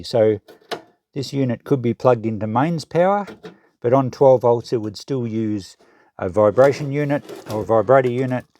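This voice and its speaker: An Australian man giving casual hands-on commentary, thinking aloud and explaining things in an unhurried way as he works. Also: mid-range voice, dark tone, slight background noise. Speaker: presenter of a vintage electronics salvage channel.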